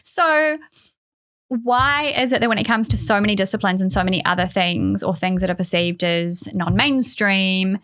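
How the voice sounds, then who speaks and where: Kiwi woman guest on a podcast, earnest, says like a lot, middle pitch. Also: normal speed, fairly bright tone. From New Zealand. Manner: earnest, laughs often, frequent "like". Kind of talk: conversational interview.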